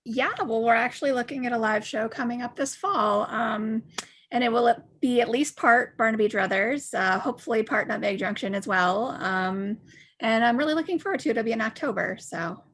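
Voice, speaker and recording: A woman's voice: on the high side, normal speed, a bit bright. A woman giving casual, conversational answers in an interview.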